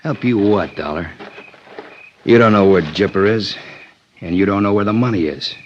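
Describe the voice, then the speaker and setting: Suspicious, jumpy male gunman man acting, low threatening delivery, old-time radio crime show, muffled sound with some noise. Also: moderate pace, natural voice. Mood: suspicious, sneering. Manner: threatening tough-guy talk, clipped slangy speech. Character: menacing, suspicious male gunman, jumpy hard-boiled crook. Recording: dull, noisy old radio recording.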